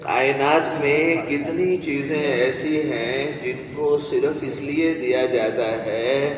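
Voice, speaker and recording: A man preaching a religious sermon in a deliberate manner, with rhetorical repetition and pauses. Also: mid-range voice, fairly even intonation, a crowd in the background. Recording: echoey hall from far off, noisy.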